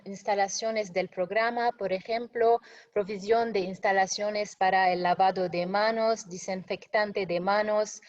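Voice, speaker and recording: Woman, non-native speaker, slow, hesitant slide presenter, dull lo-fi call audio. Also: mid-range voice, darkish tone. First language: not Spanish. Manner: halting, frequent fillers and self-corrections. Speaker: webinar presenter.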